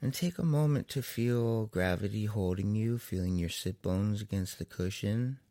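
A man with a deep voice and a gravelly fry leads a guided meditation, very slowly and soothingly. He leaves long pauses between short phrases, and the recording is dry and close. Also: warm tone, no room sound.

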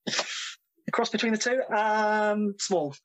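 A man, a drummer being interviewed, talking casually with many ums and pauses. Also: sits high, fairly bright tone, poor audio. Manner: hesitant, full of ums, laughs easily.

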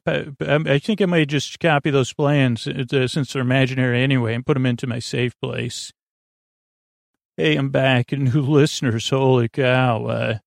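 Male podcast host, creaky voice, close dry sound; whimsical, halting bedtime rambler who wanders off mid-sentence. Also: medium pitch, no room sound. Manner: meandering, halting, trailing off mid-thought; goofy, whimsical. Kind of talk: rambling sleep podcast monologue.

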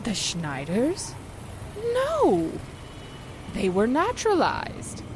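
A woman's voice: high-pitched, huge pitch swings, drawn-out words, background noise, heavily acted. A woman playing a mild, trusting small-town housewife with a hesitant, earnest manner in a 1940s-style radio drama. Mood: puzzled, protesting.

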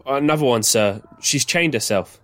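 An actor playing a working-class army orderly in a comic radio play, deferential, hesitant and colloquial, always saying sir. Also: male voice, mid-range voice, moderate pace, a bit bright, close to an everyday voice. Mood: deferential, matter-of-fact.

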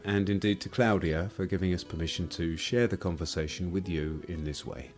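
A man with a fairly low voice introduces a podcast episode as its host. Soft plucked guitar music plays behind his narration. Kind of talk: podcast introduction and gentle interviewing.

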